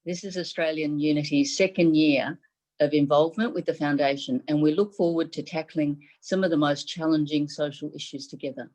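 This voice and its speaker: A woman's voice: low-pitched, fairly even intonation, a little mellow. A middle-aged woman born in Taiwan whose first language is Mandarin, giving a formal speech as a medical advisor over a video call from a room at home. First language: Mandarin Chinese. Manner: measured, formal delivery in short phrases. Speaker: chief medical advisor giving a symposium address. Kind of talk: prepared speech. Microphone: video call webcam.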